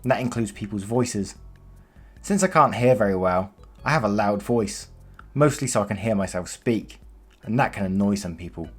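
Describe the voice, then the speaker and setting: A man with a low voice reads stories aloud in a plain storytelling manner, dropping in casual asides. Soft music plays faintly behind him. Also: husky. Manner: plain storytelling with offhand comments. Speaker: narrator of online stories. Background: faint background music.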